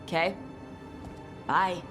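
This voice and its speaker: Blunt, low-voiced warrior woman, music behind. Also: level tone, drawn-out words. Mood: subdued. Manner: terse, blunt, casual. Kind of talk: video game cutscene acting.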